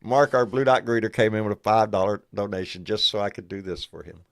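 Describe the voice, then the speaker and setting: A man's voice: on the low side, a little fast, no echo, studio quality. Folksy man hosting a live stream. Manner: folksy, drawling, warm thanks to donors. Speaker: host. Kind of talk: casual live-stream chat.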